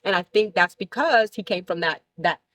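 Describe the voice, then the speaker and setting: A woman's voice: medium pitch, moderate pace, a bit bright. A woman in her late thirties telling blunt, laughing stories as a guest in a candid casual talk show chat. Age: 30s. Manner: brash, laughing, blunt storytelling.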